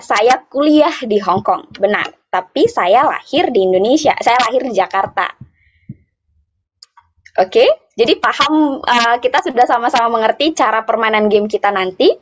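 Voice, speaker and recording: A woman interpreting live for an interactive online workshop, speaking phrase by phrase in a halting way with repeats. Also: fairly high pitch. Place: online video meeting. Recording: dull, muffled online call audio.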